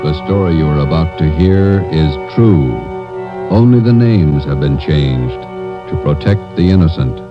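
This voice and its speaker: Very deep solemn radio narrator, man. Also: lively intonation, measured pace, music in the background. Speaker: program narrator. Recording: muffled vintage radio recording.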